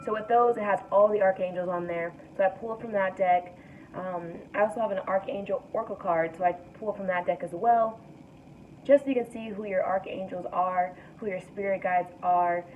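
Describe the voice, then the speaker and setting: A young woman hosts a calm tarot reading, her voice raspy from an early start. She sounds thin and tinny, like a phone line, and slightly far from the mic. A faint hiss sits under her gentle, guiding delivery. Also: medium pitch, moderate pace. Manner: relaxed, soothing, guides breathing. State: raspy morning voice. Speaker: tarot card reader. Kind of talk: casual spiritual reading to camera.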